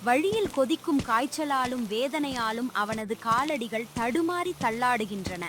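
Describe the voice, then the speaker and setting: A woman's voice: a little high, lively intonation, a little slow, background noise. A woman narrator telling a scripted story in a dramatic style.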